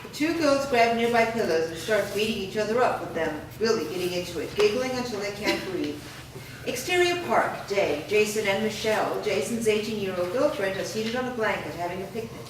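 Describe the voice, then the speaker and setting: A woman reading the stage directions aloud in a staged play reading, in an even, matter-of-fact way. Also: mid-range voice, brisk. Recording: echoey room, far mic, hiss.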